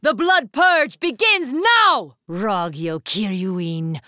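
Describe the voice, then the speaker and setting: Imperious female anime game warrior, bright sharp tone, dry studio sound, ruthless, formal, declamatory. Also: medium pitch, slow, no echo. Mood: cold, haughty. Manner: formal, haughty, declamatory delivery. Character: imperious, ruthless young woman warrior, commanding and disdainful. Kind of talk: anime fighting game voice lines.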